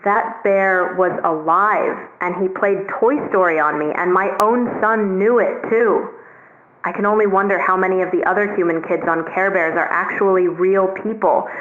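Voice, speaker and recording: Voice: mid-range voice, steady tone, normal speed, phone-quality sound, a little hiss or hum, lightly acted. A performer voicing a horrified parent, who speaks in a shaken, dismayed way.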